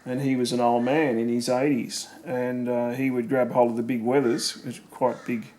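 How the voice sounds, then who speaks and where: A man's voice: medium pitch, level tone, normal speed, a little mellow, slight background noise, low-quality recording. A middle-aged Australian man casually reminiscing about family, rambling with fillers and leading questions. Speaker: family member recording memories.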